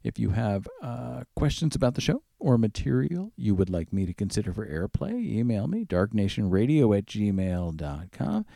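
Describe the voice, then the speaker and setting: A man's voice: on the low side, very animated, moderate pace, creaky, few pauses, warm tone, no room sound, clean studio sound. A man hosting a music radio show, presenting in a casual, conversational way. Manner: conversational, informal.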